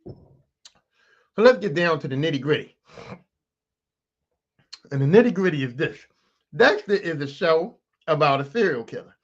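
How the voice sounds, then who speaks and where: A man hosting his own podcast, raspy this morning, talking casually and with plenty of swearing straight to his listeners. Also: a little high, unhurried, pauses often, lo-fi sound. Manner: profane, animated, talks straight to listeners. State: raspy, clearing throat. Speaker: podcast host. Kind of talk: casual solo podcast rant.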